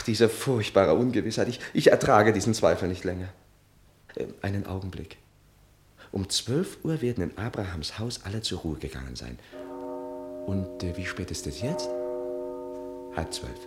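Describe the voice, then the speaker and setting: An actor playing a lovesick, impulsive young gentleman in a 1960s German radio play, speaking in a hesitant, sighing, impassioned way. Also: on the low side. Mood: anxious brooding. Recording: 1960 radio drama, faint music.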